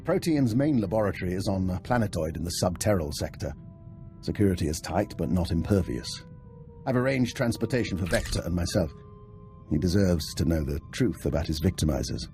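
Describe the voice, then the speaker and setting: An actor playing a coolly calculating, self-assured doctor in a video game, speaking in precise, formal, detached phrases. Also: male voice, low-pitched, expressive, fairly quick, a little mellow, faint music behind. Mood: businesslike, determined.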